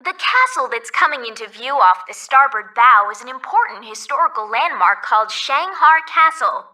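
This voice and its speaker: A woman's voice: high-pitched, animated, normal speed, bright, forceful tone, full character voice. A woman playing a polite, cheerful resort ferry guide in a video game, reading scripted tour announcements, recorded slightly distant. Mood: cheerful, informative.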